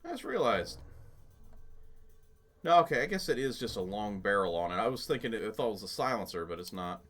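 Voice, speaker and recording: A man's voice: fairly low pitch, sing-song, a little fast, a bit bright. A man giving casual, jokey let's play commentary, muttering to himself as he goes, as the host of a gaming channel.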